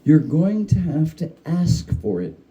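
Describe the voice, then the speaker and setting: A man giving a dramatic reading of a humorous spooky story, with theatrical, deliberate phrasing. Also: on the high side, very animated, mellow. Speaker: story reader.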